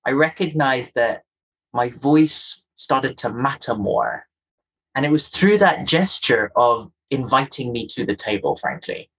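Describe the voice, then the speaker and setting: A man from Kenya whose Kenyan accent has been polished down over the years. He speaks as an interview guest, reflective and earnest, repeating key words for emphasis. His voice sits at a medium pitch. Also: animated, measured pace, fairly bright tone, husky, rough recording. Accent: softened Kenyan.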